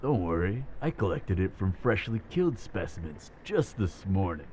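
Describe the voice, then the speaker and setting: An actor playing a smug, menacing camp villain in an apocalypse audio drama, taunting in a drawl. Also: male voice, sits low, very animated, normal speed, dark tone, slight background noise. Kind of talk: dramatic audio roleplay acting.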